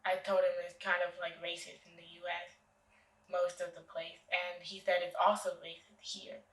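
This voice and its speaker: A young American woman talking as a guest, relaxed and halting with many fillers. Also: fairly low pitch, reverberant, recorded from a distance, slight background noise, rough recording. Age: young adult. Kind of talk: casual interview.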